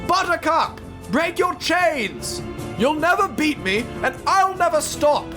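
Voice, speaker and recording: A man voicing a hot-headed steam engine in an audio drama, shouting taunts at a rival in a strained voice. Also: very high voice, very animated, slow, over music, voice pushed up, exaggerated voice. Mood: furious defiance.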